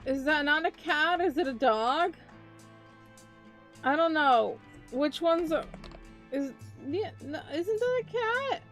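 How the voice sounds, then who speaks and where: A woman's voice: high voice, animated, slow, long pauses, fairly bright tone, faint music behind. A woman streaming live as a VTuber, chatting casually with her viewers and joking about herself, her voice tired. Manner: chatty, talks to chat, self-mocking.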